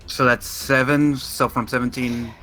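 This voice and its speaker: Man, tabletop gamer, bright mid-pitched voice, casual chat, light music behind. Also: fairly even intonation, normal speed. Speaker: tabletop game player. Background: faint background music.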